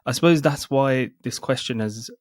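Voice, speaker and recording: Podcast co-host asking questions, dry sound. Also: male voice, medium pitch, moderate pace, no room sound. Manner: conversational, probing questions. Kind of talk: casual interview questions.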